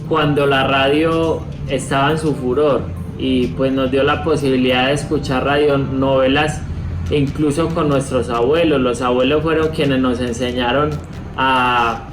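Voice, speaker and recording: A man around forty giving a measured, earnest, reflective testimonial. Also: medium pitch. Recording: echoey room, faint music.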